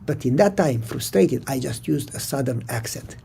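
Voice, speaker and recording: A man with a Romanian accent preaching a sermon, telling a story with animated delivery and acting out the dialogue. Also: medium pitch, moderate pace, gravelly, slight background noise. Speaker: pastor.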